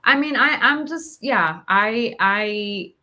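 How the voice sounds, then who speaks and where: A woman's voice: mid-range voice, animated, slow pace, pushed and bright. A woman talking reflectively in conversation, hesitant and searching for her words. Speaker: podcast host.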